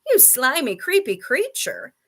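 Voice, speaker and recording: A woman voicing an excitable, cheeky male monkey in a children's storybook, speaking in an emphatic, exclamatory way. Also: medium pitch, unhurried, full character voice. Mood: appalled. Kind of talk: children's storybook character reading.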